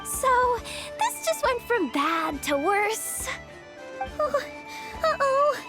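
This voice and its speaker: Squeaky, very high-pitched childlike fairy companion. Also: female voice, very slow, exaggerated voice. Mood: dismayed. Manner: earnest, animated, dramatic. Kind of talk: video game character acting. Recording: game music behind the voice.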